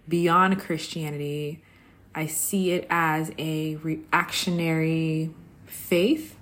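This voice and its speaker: A woman hosting a podcast, talking in a conversational, personal way, reflective and pausing between short phrases. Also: deep voice. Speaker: podcast host.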